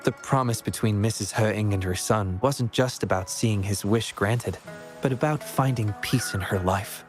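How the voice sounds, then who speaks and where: Man as considerate young man, earnest, reflective, courteous, medium pitch, video game dialogue, music underneath. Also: moderate pace, natural voice. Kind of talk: scripted video game voice acting. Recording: music playing behind the voice.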